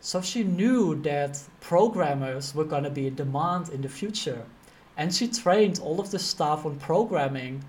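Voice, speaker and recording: A man's voice: on the high side, expressive, unhurried, darkish tone. A man with a Dutch accent speaking informally and directly as a career coach teaching a motivational online course. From Netherlands.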